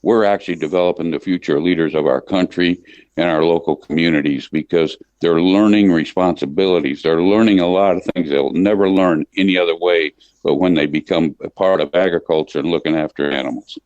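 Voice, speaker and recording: Very deep-voiced older American man. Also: fairly even intonation, a little mellow. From Michigan, United States. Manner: plain-spoken, folksy, halting with repeated words. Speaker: interview guest, livestock association chief executive. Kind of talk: conversational interview, reminiscing. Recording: dull lo-fi line in a roomy space.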